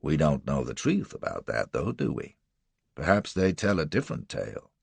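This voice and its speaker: A man doing a cool, quiet drawling lawyer type. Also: very deep voice, vocal fry, breathy edge, no room sound, voice pushed down, exaggerated voice. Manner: slow steady drawl, quiet. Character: calm measured man of law.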